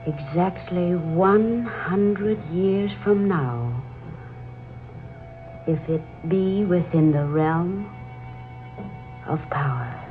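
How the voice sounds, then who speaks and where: Uneasy young woman, lowish voice, 1940s radio thriller, clear music behind, earnest delivery. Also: extremely slow, pauses often, narrow, tinny audio. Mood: hushed, solemn.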